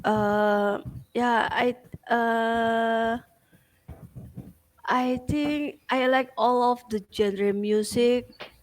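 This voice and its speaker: Slow, hesitant woman from Indonesia. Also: a little high, steady tone. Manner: hesitant, halting learner English. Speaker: student. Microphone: video call.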